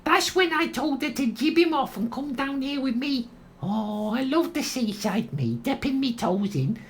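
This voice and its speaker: A woman's voice: sits high, animated, a little slow, slight background noise. A woman playing a blunt, gossipy Liverpudlian holidaymaker with a Scouse accent in a comedy radio play. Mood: gossipy, scornful. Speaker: actor.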